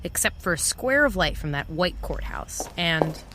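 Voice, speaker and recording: An actress playing a guarded, wry private eye in an understated noir audio drama. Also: female voice, on the low side, sing-song, normal speed, sharp tone, a little hiss or hum. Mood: reflective. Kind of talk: noir first-person audio drama narration and dialogue.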